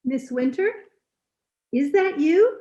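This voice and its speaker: A woman's voice: high-pitched, lively intonation, takes it slowly, warm tone, muffled recording. A woman playing a meek, apologetic maid in an old-time radio drama, with a deferential, protesting manner. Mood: innocent, defensive. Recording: noisy remote call with clicks.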